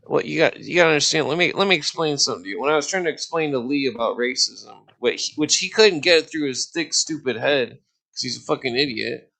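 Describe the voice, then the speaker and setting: A man with a fairly high, creaky voice recorded close and dry. He talks like a combative podcast host in a heated livestream argument, swearing freely and interrupting. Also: expressive, fairly quick, bright, forceful tone, no room sound. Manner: profane, blunt, combative, interrupting.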